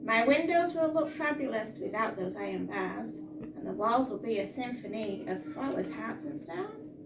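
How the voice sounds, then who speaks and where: A woman voicing cheerful, excited chimpanzee townsfolk from a children's storybook, full of enthusiasm. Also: a little high, moderate pace. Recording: roomy, distant mic, noisy.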